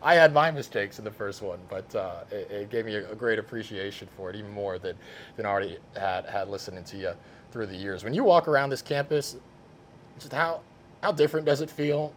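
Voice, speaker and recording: A man hosting a casual interview podcast, talking in an animated, self-deprecating, conversational way. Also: on the low side, brisk, slight background noise. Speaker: podcast host, play-by-play sportscaster.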